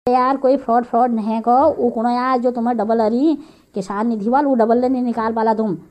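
Cartoon role for a woman: exasperated, gullible rural mother, Kumaoni accent, grumbling delivery, fairly high pitch. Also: fairly even intonation, a little fast, darkish tone, natural voice. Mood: anxious, insistent. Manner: grumbling, rustic. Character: gullible, exasperated village mother of a grown son. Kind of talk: comic cartoon dialogue.

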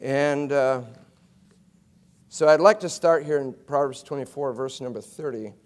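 A middle-aged man preaching a chapel sermon from a pulpit, going from soft and low to loud and emphatic, often restarting his words. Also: unhurried. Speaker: guest preacher. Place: college chapel stage behind a pulpit. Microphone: pulpit mic, wide fixed camera.

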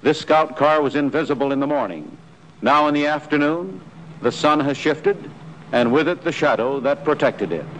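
An American man narrating a 1940s military training film in a scripted, instructive style, with clear imperative delivery. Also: a little high, lively intonation, moderate pace, fairly bright tone. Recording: noisy 1940s film soundtrack.

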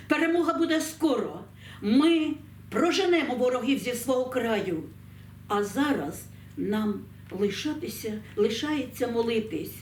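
An echoey, somewhat distant recording of a woman reciting poetry as a programme presenter. Her voice is on the high side, and she speaks very slowly and solemnly, setting out each phrase with deliberate, expressive pauses. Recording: echoey room, distant mic, faint noise.

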